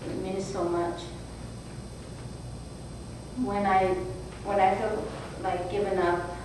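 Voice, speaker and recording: A woman reads her own short piece aloud at a podium, halting and doubling back over her words. She speaks slowly in a mid-range voice. The recording is distant and roomy, with steady hiss underneath. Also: medium pitch. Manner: halting, repeats phrases, restarts. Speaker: adult learner reading her own piece. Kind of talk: reading a short heartfelt text aloud. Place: brick-walled hall with a seated audience. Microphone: podium mic from a far camera.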